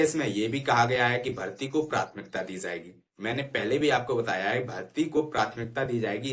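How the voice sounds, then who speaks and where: A man explaining things informally to students as an online exam-prep educator, urging them directly and often checking that they follow. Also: medium pitch. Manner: repeatedly checks understanding with short tag phrases.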